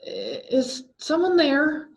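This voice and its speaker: A woman's voice: high voice, wildly swooping pitch, takes it slowly, voice pushed up, exaggerated voice. A woman voicing a frightened, grieving woman in a horror story, talking to herself hesitantly and anxiously. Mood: fearful. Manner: hesitant, anxious self-talk. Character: frightened, grieving woman trapped in a haunted house. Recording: dull, lo-fi sound.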